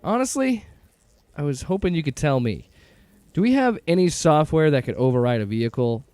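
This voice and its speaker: An actor playing a gruff, determined agency director in an audio drama, talking bluntly and casually with some hesitant word-searching. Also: male voice, a little high, expressive, a little fast, a little mellow, no echo, close to an everyday voice. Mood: earnest.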